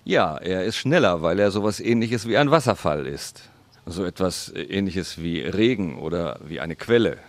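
Very deep, dry-voiced male interpreter. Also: expressive, no room sound. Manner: slow, deliberate, careful phrasing. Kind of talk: voice-over interpretation into German.

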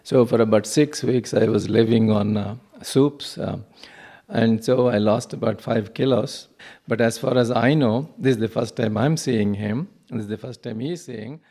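A man whose first language is Telugu, telling a personal story in English with many pauses and fillers. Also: medium pitch, warm tone. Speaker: interviewee.

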